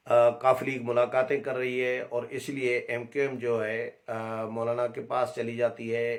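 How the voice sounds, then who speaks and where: A man with a Pakistani accent giving unscripted political commentary as a reporter, explaining and recounting in a halting way. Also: mid-range voice.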